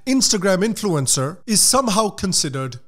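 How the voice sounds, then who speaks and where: A man's voice: sits high, sing-song, normal speed. A man with an Indian English accent hosting his own channel, delivering a sarcastic scripted commentary rant with pointed repetition. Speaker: YouTube commentator, host.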